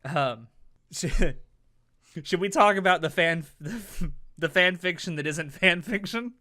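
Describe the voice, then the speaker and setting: A man's voice: high voice, slow, pushed and bright, no room sound, studio quality. A man hosting a casual podcast, talking in a rambling, jokey way. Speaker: podcast host.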